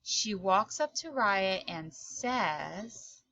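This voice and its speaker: A woman storyteller reading a children's picture book aloud in expressive narration, with dramatic, deliberate phrasing. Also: medium pitch, dry recording, lo-fi sound.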